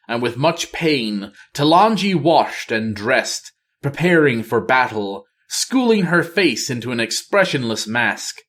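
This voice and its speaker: Slow, dramatic male fantasy storyteller. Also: mid-range voice, a bit bright. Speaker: storyteller reading a novel aloud. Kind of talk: dramatic audiobook-style fantasy narration.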